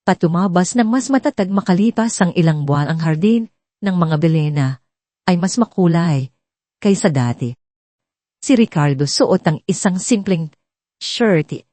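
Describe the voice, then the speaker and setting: A woman reads a dramatic audio story as its narrator. Her voice is on the low side and somewhat mellow, recorded dry and close. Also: expressive, a little slow, pauses often, no echo.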